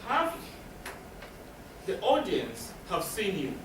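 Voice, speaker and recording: A man's voice: sits high, huge pitch swings, slow pace. A man giving a spoken presentation as a counselor, explaining in short, emphatic phrases and posing questions that he answers himself. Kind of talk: spoken presentation to a live audience. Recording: roomy, distant mic with noticeable background noise.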